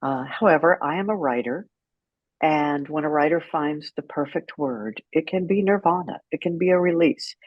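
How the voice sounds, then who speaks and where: A woman's voice: sits low, no echo. Frank American woman, slightly dull audio. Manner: frank, unfiltered, dramatic pauses and emphasis. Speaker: guest, writer and former radio personality. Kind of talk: candid personal storytelling in an interview.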